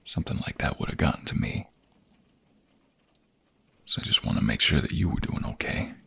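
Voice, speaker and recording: A man playing a tender, protective young mobster in an intimate ASMR romance roleplay, speaking softly and haltingly in a husky, gravelly, breathy voice. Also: extremely low pitch, sing-song, full character voice. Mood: gentle, concerned. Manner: soft, halting, gentle, intimate.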